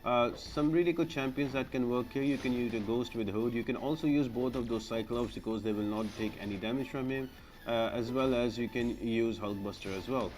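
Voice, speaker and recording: Man giving game commentary over clear noise. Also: medium pitch, brisk, darkish tone. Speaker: game guide host. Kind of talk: explanatory video game walkthrough commentary.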